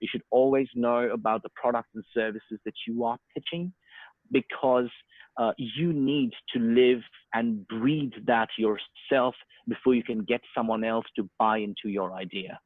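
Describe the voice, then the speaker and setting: A man's voice: medium pitch, steady tone, a little slow, no room sound. A man in his thirties, born in India, speaking with an Australian accent as an interview guest, talking freely with many fillers and restarts. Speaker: interview guest, sales and marketing professional. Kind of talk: casual online interview. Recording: tinny phone-like call audio, dry.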